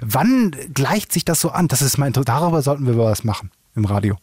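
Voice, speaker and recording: Ein Mann mittleren Alters mit norddeutschem Akzent, der als Co-Moderator eines Gaming-Podcasts locker plaudert, oft lacht und Wörter neu ansetzt. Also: male voice, medium pitch, big pitch swings, fairly quick, darkish tone, husky, no room sound, clean studio sound. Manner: chatty, laughs often, restarts words. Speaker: podcast co-host. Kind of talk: casual chat.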